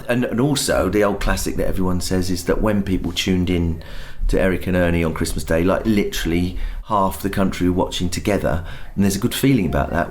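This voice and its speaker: A man's voice: deep voice, a little mellow. A man in his fifties, a pantomime performer, chatting casually backstage and slipping into silly voices now and then. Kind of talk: casual backstage interview chat. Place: backstage at a theatre.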